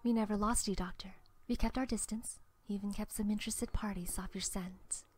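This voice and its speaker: A woman's voice: medium pitch, fairly even intonation, a little fast, darkish tone, slight background noise, close to an everyday voice. A woman in a fan dub playing a cool, guarded, wry super-spy agent. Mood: calm, persuasive. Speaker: amateur voice actor in a fan dub. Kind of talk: fan dub film acting.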